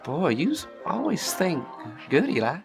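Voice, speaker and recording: A man playing a meek, dim-witted younger outlaw brother in a western audio drama, halting and stammering, with a rural American accent. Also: fairly high pitch, wildly swooping pitch, creaky, music in the background, full character voice. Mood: dopey.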